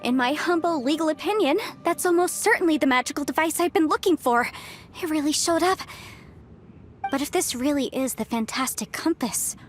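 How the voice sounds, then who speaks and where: A woman voicing a bright, confident young legal adviser and fighter in a video game, chatty and theatrical. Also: high-pitched, moderate pace, lightly acted. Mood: curious, amazed. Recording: game music behind the voice.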